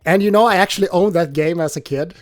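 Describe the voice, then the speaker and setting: A man, a guest on a casual podcast, relaxed and given to chuckling as he talks. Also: high-pitched, quick pace, dry recording, studio quality.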